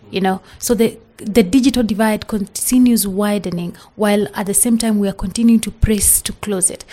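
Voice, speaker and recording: A woman's voice: medium pitch, warm tone. A woman from Kenya with a Kenyan English accent and Swahili as her first language, speaking earnestly as an interview guest, with many restarts and "you know" fillers. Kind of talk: podcast interview.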